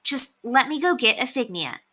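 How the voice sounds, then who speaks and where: Brief: determined young girl, storybook, polite and earnest, raised bright pitch, read by a woman. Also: sing-song, moderate pace, heavily acted. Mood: insistent.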